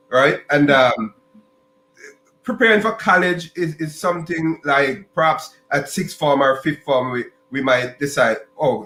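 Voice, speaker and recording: A man's voice: mid-range voice, sharp tone, reverberant, low-quality recording. A man from Jamaica, hosting a podcast interview and asking long, rambling questions with many restarts and repeated words. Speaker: podcast host.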